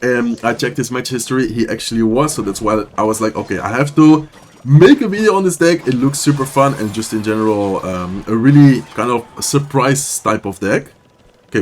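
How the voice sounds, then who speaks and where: A man talking quickly through a sore throat, calling out his moves in a relaxed running commentary. His pitch sits in the middle of a man's range, and he often stops and restarts his sentences as he plays. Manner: fast, casual play-by-play with frequent restarts. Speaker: gaming YouTuber. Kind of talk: gameplay commentary.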